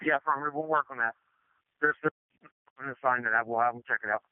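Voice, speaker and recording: Quick-talking man on fire radio. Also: medium pitch, no echo. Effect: two-way radio. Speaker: fire officer. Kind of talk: conversational emergency radio traffic. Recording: tinny narrowband fire radio transmission.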